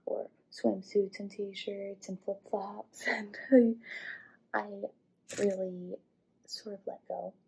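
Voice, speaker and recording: A woman in her twenties from the American Midwest, chatting casually through a vintage hair tutorial in a small room, with low whispery asides and quiet audio. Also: steady tone, slow pace, vocal fry, husky, low-quality recording. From Midwest US, Illinois.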